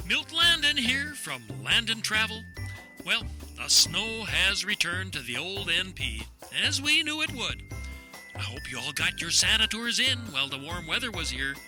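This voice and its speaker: A man playing a showy, folksy travel agent giving a TV pitch in a comedic audio drama. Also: sing-song, moderate pace, over music. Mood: chirpy, festive.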